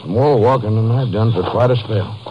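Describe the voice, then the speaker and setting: An American actor playing a folksy, puzzled small-town sheriff in a 1950s radio drama. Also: male voice, fairly low pitch, brisk, close to an everyday voice. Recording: muffled, noisy vintage radio recording.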